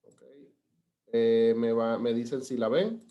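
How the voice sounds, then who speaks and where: Venezuelan male presenter, webinar on a video call, halting delivery with frequent restarts. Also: medium pitch, highs cut off. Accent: Venezuelan Spanish. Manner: hesitant, many fillers and restarts. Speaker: government data analyst presenting.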